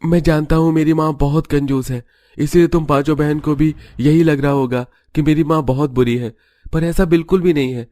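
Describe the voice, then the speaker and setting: Guy doing a calm young cartoon husband, reassuring, pitched up a bit, dry sound. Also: normal speed, no echo, lightly acted. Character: patient young husband defending his stingy mother.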